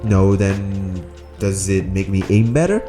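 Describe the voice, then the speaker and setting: A man with a low, booming voice that is a bit hoarse talks through a gear review with dry sarcasm. He sounds tired late at night, speaking close to a condenser mic in an echoey room while music plays clearly behind him. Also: slow. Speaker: tech reviewer. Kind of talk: casual product review. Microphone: USB condenser microphone close-up.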